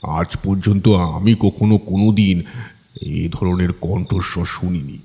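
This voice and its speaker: Deep, slow male horror narrator with reverb. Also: fairly even intonation, dark tone, breathy edge. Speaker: audiobook narrator. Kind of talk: scripted horror story narration. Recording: long hall-like reverb.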